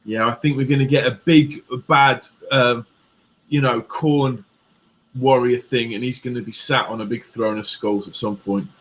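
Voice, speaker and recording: A man's voice: mid-range voice, steady tone, normal speed, highs cut off, slight background noise. A man from England chatting casually as a show co-host, his Midlands accent mixed with northern and southern traces. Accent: English Midlands with northern and southern traces. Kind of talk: casual chat.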